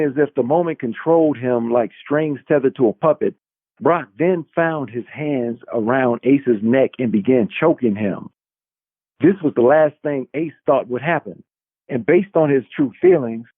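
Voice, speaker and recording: A man's voice: mid-range voice, dry recording, narrow, tinny audio. A man who is an author reading his own novel as dramatic narration, on a band-limited recording.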